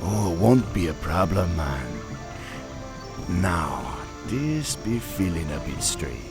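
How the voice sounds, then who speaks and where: A man's voice: deep voice, sing-song, takes it slowly, loud music behind the voice, full character voice. A man voicing a proud male troll chieftain who speaks in an island patois, in a video game cutscene. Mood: commanding. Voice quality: gravelly.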